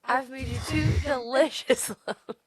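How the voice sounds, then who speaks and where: A woman's voice: high-pitched, steady tone, a little slow, slightly rough, dry recording. Woman in casual, dry-sounding podcast chat. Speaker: podcast co-host.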